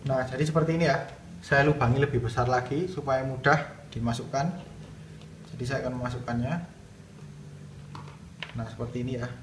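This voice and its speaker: A man's voice: medium pitch, steady tone, brisk, long pauses. A man narrating a casual hands-on tutorial, explaining it step by step as the host. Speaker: video host demonstrating product installation. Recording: roomy, distant mic, clear background noise.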